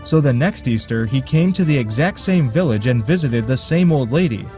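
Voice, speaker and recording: A man's voice: medium pitch, expressive, moderate pace, darkish tone, soft background music. A man narrating a folk tale in a dry, wry way, with asides to his listeners. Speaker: storyteller.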